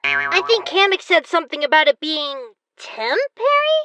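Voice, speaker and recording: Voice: very animated, moderate pace, pushed and bright, no room sound, heavily acted. A performer voicing a perky, bratty young turtle-monster boy in a fan cartoon, in a childlike, cheeky voice. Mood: puzzled. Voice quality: childlike, high-pitched. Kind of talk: cartoon voice acting for fan animated series.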